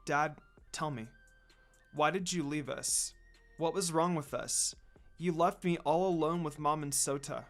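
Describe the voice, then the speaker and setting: A man voicing a gentle, anxious young woman heroine in a story reading, soft and hesitant. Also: on the high side, a little slow, soft background music. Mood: worried.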